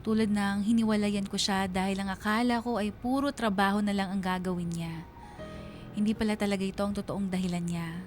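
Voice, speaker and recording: A woman's voice: medium pitch, fairly even intonation, brisk, close to an everyday voice. A woman playing a reflective, bereaved young woman narrating her own love story in a radio drama. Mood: sad, reflective. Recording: music behind the voice.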